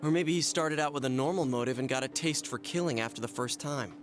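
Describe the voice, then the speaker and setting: A man playing a steady, casual teenage boy sleuth in an anime-style video game. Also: medium pitch, fast talker, music in the background, close to an everyday voice. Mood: speculating.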